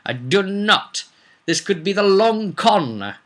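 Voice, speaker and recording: Man acting a suspicious tabletop adventurer, high pitch, sharp tone, drawn-out wary delivery, trusts nobody. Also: big pitch swings, heavily acted. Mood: paranoid, insistent.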